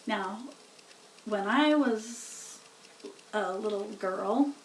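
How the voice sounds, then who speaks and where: A woman's voice: medium pitch, extremely slow, a little hiss or hum. A woman reading a children's story aloud, narrating plainly and chatting to explain things as she goes. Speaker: storybook reader. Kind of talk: slow read-aloud narration with casual explanatory asides. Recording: roomy, distant-sounding home recording.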